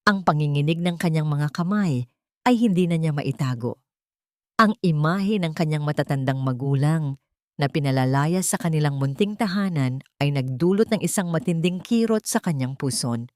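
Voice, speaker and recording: Female Filipino storyteller, fairly low register, scripted dramatic narration, very little room sound. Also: moderate pace, a little mellow, no room sound, clean studio sound.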